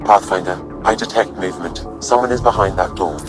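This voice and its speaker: A man acts out a helpful artificial intelligence from a sci-fi video game. He speaks in a fairly low register, precise and composed, guiding an explorer with measured formality. Music plays clearly underneath. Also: natural voice. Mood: calm, alert. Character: calm helpful male artificial intelligence companion advising an explorer.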